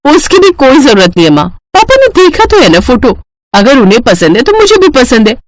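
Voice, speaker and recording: A woman voicing an excitable, whiny, naive young bride in a cartoon story. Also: high-pitched, brisk, no echo. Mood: eager, insistent. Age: young adult.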